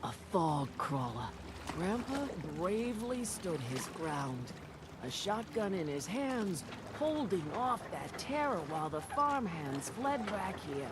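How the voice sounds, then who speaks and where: A man's voice: sits high, big pitch swings, slow pace, noisy background, full character voice. An actor voicing a folksy man in a video game who spins a long tale, talking in a homespun storytelling way. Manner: folksy yarn-spinning storyteller. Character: folksy, crafty island quest-giver man.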